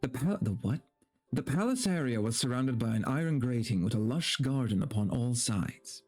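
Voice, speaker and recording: Guy streamer doing a dramatic reading, mock serious, exasperated sweary asides, medium pitch, music behind him. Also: animated, moderate pace, darkish tone. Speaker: streamer reading a story aloud.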